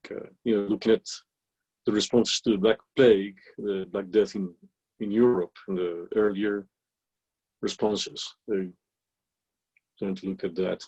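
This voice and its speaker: A man's voice: fairly low pitch, steady tone, a little slow, pauses often, warm tone, husky, highs cut off. A man moderating an online conference from a countryside house, chatting loosely with fillers before introducing the speaker. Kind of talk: casual chat and speaker introduction. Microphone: video call.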